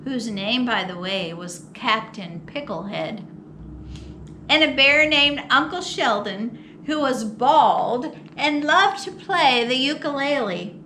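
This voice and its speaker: A woman reading a children's picture book aloud as a storytime host, expressive and theatrical with drawn-out words. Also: high voice, a little hiss or hum. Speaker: storytime host at a public library.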